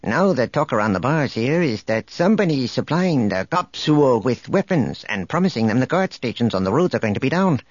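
Man as streetwise alien reporter, lively delivery. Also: medium pitch, a little fast, hardly any pauses, no echo, dull audio. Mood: brisk, probing. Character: streetwise, wary male alien news reporter.

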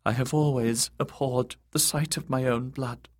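A man voicing a frightened, simpering male eunuch spymaster who pants and fawns in high, breathy tones. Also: fairly even intonation, normal speed, close to an everyday voice. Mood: pleading. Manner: fluttery, fawning, affected courtesy.

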